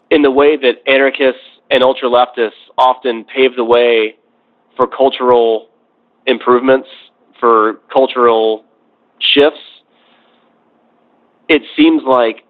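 Man on a tinny, phone-like line. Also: mid-range voice. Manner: measured, reflective, builds points in phrases. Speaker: podcast discussion guest. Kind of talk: conversational political commentary.

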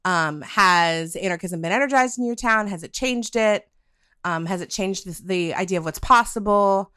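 A woman hosting a podcast in casual chat, halting and full of fillers. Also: mid-range voice, bright, forceful tone, smooth, dry recording, studio quality. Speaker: podcast host.

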